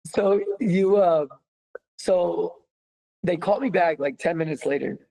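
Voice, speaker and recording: A man's voice: high voice, a little slow, gravelly, low-quality recording. Chatty young American man telling stories. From United States. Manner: animated, many fillers, laughs easily. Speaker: guest, former footballer. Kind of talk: casual storytelling.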